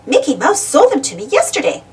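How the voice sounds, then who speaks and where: A woman's voice: sits high, wildly swooping pitch, fairly quick, darkish tone, far from the mic, pitched above the performer's own voice. A woman voicing a proud, pleased bookshop clerk in a children's story, chatty and enthusiastic. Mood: proudly pleased.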